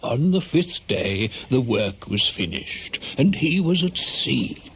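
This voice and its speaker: An actor playing a measured storyteller, narrating an epic in a radio play. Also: male voice, medium pitch, very animated, slight background noise.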